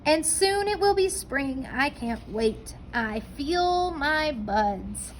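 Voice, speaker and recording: A woman reading a storybook aloud, voicing a gentle, patient female talking tree in a soft, slow, hushed way. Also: high voice, animated, a little hiss or hum. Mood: calm.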